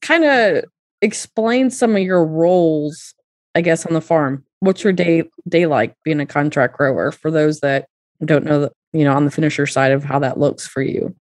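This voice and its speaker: A woman's voice: sits low, moderate pace, a little mellow, dry recording, clean studio sound. A woman hosting a podcast, asking questions and commenting in a conversational interview style. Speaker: podcast host.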